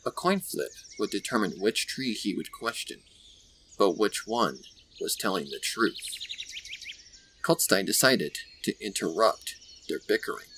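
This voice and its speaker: Low-voiced man narrating a story. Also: animated, a little slow, pushed and bright. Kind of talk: scripted fan fiction story narration. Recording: faint background noise with birdsong.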